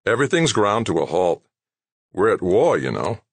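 A man acts out an easygoing male engineer in a novel. He talks casually and matter-of-factly in a raised, slightly husky voice that moves up and down freely. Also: lowish voice, sing-song, moderate pace, dry recording, pitched above the performer's own voice, heavily acted. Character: lanky, easygoing male practical engineer, mildly sympathetic. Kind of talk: acted audiobook dialogue.

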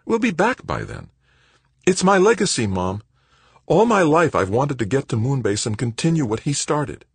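A male reader becomes an earnest young man of eighteen, full of eagerness. The pitch is lifted well up and bounces around, excitable and clean. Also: fast talker, husky, no echo, heavily acted. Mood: pleading, excited.

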